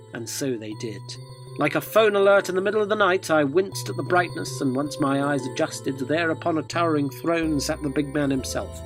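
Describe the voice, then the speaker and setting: A man's voice: mid-range voice, lightly acted. Man playing a wry sceptical narrator over music. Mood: awed, incredulous. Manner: dry, wry, wordy. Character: sceptical, wry male interviewer telling his surreal expedition. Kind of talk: first-person radio drama narration.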